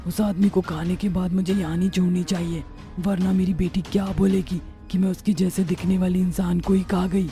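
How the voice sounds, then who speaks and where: A woman's voice: lowish voice, flat delivery, fairly quick, mellow, faint music behind, natural voice. A woman voicing a man-eating witch turned doting mother in a Hindi cartoon story, exclaiming and talking to herself expressively. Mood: fussing, fond.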